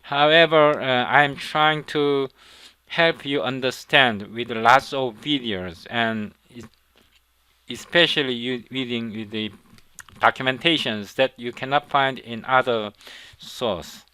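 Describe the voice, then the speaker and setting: A man with a Korean accent, a non-native English speaker, teaching a coding tutorial in halting speech, repeating words and checking in with "okay?". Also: mid-range voice, pushed and bright, clean tone, no room sound. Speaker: programming instructor. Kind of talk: screen-recorded coding tutorial.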